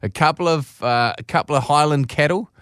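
A man's voice: on the high side, fairly quick, fairly bright tone, clear, resonant tone, dry recording, studio quality. A New man co-hosting a podcast, rambling and enthusiastic, with casual swearing. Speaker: podcast co-host. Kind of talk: casual chat.

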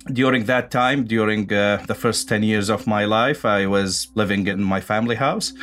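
A man in his thirties recounts a personal story in a fairly low voice. He is an Arabic speaker and pauses often with "uh" as he finds his words. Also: fairly bright tone. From Iraq. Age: 30s. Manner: hesitant, with frequent "uh" pauses. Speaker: interviewee.

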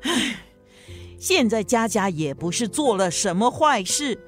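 An elderly grandmother in a radio drama, somewhat high in pitch. She sighs and admonishes bluntly while keeping the peace, over faint music. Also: sing-song, unhurried. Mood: reproachful. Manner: sighing, admonishing. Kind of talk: Mandarin radio drama acting.

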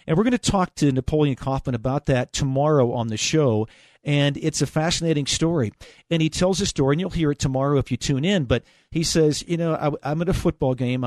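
An American man hosts a talk radio call-in show. His voice sits a little higher than most men's. The sound is dry and close, and he talks in a conversational sports-radio style. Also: a little mellow, no room sound.

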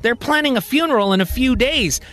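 A man's voice: very high-pitched, expressive, brisk, fairly bright tone, soft background music, voice pushed up. A man voicing an impulsive, blunt and earnest male partner in a sci-fi audio story, with an incredulous manner. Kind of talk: acted dialogue in audio drama storytelling.

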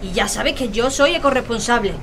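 A woman's voice: a little high, a little fast, noisy background, close to an everyday voice. A young woman playing a teasing, sarcastic teenage daughter with an Andalusian accent in an audio drama. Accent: Andalusian Spanish.

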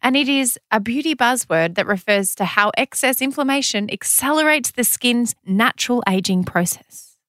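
A young Australian woman chatting casually as a podcast co-host, animated and teasing. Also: fairly high pitch, moderate pace, no room sound, studio quality. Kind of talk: casual chat.

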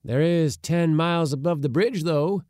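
Man putting on a blustering, high-pitched old uncle. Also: a little slow, gravelly, pushed and bright, no echo, studio quality, heavily acted. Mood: determined. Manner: blustering, exclamatory, pompous. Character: impatient, bossy, excitable elderly uncle with grand schemes.

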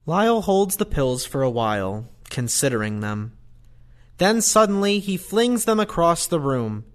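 A man's voice: fairly high pitch, sing-song, measured pace. A man narrating the scripted stage directions of an audio play. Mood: neutral.

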